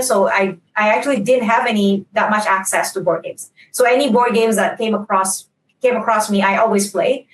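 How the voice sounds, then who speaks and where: A woman from Indonesia, a game designer, talking casually as a panel guest, often restarting words and laughing easily. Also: mid-range voice. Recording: echoey and distant with faint noise.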